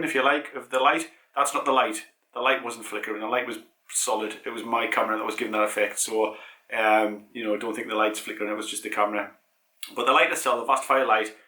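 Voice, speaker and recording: A man's voice: medium pitch, sharp tone, echoey room. A British man with a head cold hosting a casual cycling product review, rambling with many ums and restarts. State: congested with a cold. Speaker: cycling channel host.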